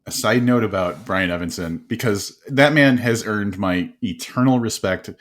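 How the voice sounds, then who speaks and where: A man hosting an interview podcast, telling quick stories in a casual, animated way with frequent laughs and restarts. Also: on the low side. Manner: animated storyteller, laughs often, many restarts. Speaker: podcast host.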